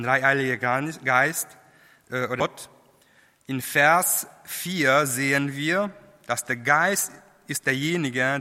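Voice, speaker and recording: A man preaching a sermon in a language that is not his first, halting, with many fillers and restarts. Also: mid-range voice, sharp tone. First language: not German. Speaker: lay preacher. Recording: long hall reverb.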